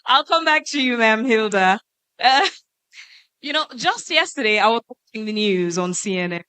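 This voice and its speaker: A woman moderating a conference panel, posing questions to the panelists in a lively way. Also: on the high side, moderate pace, smooth, no echo. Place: conference stage.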